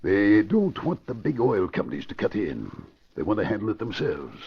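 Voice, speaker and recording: Crooked middle-aged financier for a vintage radio crime play: American, low and husky, commanding, conniving, muffled old recording. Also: male voice, wildly swooping pitch, moderate pace, full character voice. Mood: persuasive, secretive. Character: scheming middle-aged crooked investment boss, commanding and smooth. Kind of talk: 1940s radio crime drama acting.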